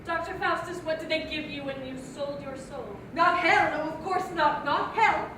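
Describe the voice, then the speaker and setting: A woman's voice: sits high, moderate pace, close to an everyday voice. A woman playing a viper-bitten young heroine. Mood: wistful. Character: Marguerite Ida and Helena Annabelle, young woman bitten by viper. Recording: distant, echoey hall with noise.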